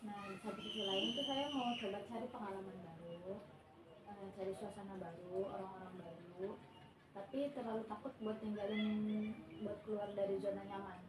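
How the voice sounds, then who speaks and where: A woman's voice: medium pitch, steady tone, normal speed, crowd noise. Casual young woman, distant echoey recording. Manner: casual, rambling with fillers. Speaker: client in role-play. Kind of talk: casual role-played counseling talk. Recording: echoey room, distant mic, noise.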